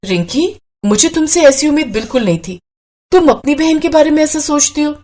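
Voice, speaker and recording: A woman voicing a sensible, caring young sister-in-law in a cartoon story, speaking earnestly and with gentle reproach. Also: high-pitched, expressive, a little fast, a little hiss or hum, pitched above the performer's own voice. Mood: reproachful, advising.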